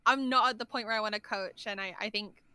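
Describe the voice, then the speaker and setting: A woman's voice: medium pitch, steady tone, quick pace, sharp tone, smooth, no room sound. A woman in a casual interview, giving opinionated, emphatic advice as a guest voice actor, recorded at home on a dynamic microphone. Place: large untreated room at home.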